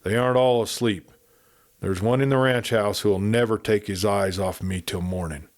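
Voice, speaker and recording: A man's voice: fairly low pitch, fairly even intonation, normal speed, lightly acted. A man voicing a bold young outlaw who talks in an easy, teasing way. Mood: low, urgent.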